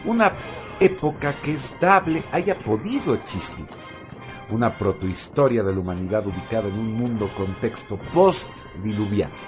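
A male radio host reading a scripted segment in an emphatic, expressive way, over background music. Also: fairly low pitch.

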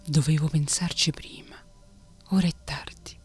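A fretful old woodcarver murmuring in a hushed, airy whisper, as a woman puts him on. His voice sits low and moves slowly. It is a storybook father, quietly grumbling at his creation. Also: fairly even intonation, husky, faint music behind, heavily acted. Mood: rueful.

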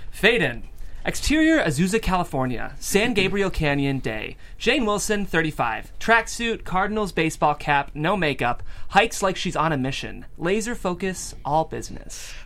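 Midwest guy reading out script stage directions as host, super lively up and down voice. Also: high voice, moderate pace. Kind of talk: animated script narration.

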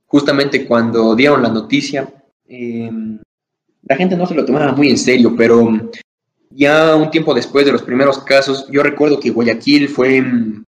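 A young man hosting a podcast interview, asking long rambling questions with fillers and restarts. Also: medium pitch. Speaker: podcast host. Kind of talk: casual interview.

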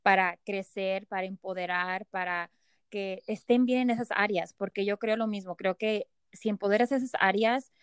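A woman's voice: medium pitch, steady tone, normal speed, clear, resonant tone, no echo, studio quality. A woman hosting an interview, enthusiastic and effusive, often repeating her phrases of agreement. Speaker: podcast host. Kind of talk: conversational interview.

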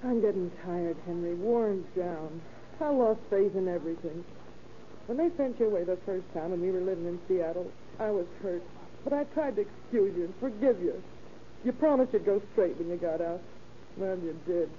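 A dull, faintly hissy old radio recording carries an actress as a long-suffering wife and mother. She is weary and reproachful, scolding in earnest, dramatic 1930s melodrama style at a medium pitch. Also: animated, moderate pace, lightly acted. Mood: weary, bitter.

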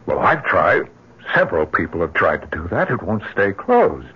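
An actor in an old radio melodrama playing a distraught, disbelieving husband, agitated and urgent. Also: male voice, low-pitched, wildly swooping pitch, moderate pace, husky, full character voice. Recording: muffled old radio drama recording.

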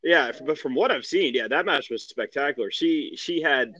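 A man co-hosting a wrestling podcast, chatting casually about sport in a halting way, repeating phrases as he searches for words. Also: on the high side, expressive, sharp tone, dry recording, highs cut off. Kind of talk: casual sports recap chat.

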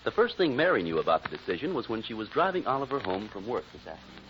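Brisk, low-voiced vintage radio announcer man. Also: sing-song, background noise. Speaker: announcer and narrator. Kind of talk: vintage radio scripted narration. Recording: muffled old radio recording with music.

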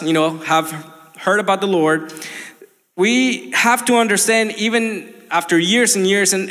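A man's voice: sits high, a bit bright. A young man from Colombia, a native Spanish speaker with a Colombian accent, preaching an earnest sermon full of restarts. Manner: earnest, many restarts and fillers. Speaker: pastor. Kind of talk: livestreamed sermon. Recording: long hall reverb.

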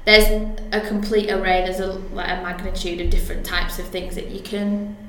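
A woman's voice: medium pitch, flat delivery, a bit bright, faint music behind. Chatty woman, very echoey room. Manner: chatty, lots of fillers and restarts, quick to laugh. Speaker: guest copywriter. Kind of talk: casual podcast interview.

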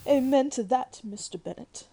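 A woman's voice: sits high, normal speed, gravelly, dark tone, husky, heavily acted. A woman playing a fretful, tearful middle-aged wife and doting mother in a melodramatic radio play. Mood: calmer, resigned. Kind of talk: 1940s radio acting.

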